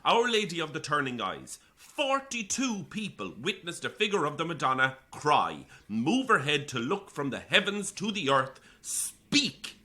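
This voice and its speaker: Forceful, fervent believer. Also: male voice, fairly high pitch, big pitch swings, a little slow, few pauses, heavily acted. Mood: impassioned, indignant. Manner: insistent, preachy, combative. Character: fervent, indignant religious man believing in miracles. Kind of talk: naturalistic audio drama acting.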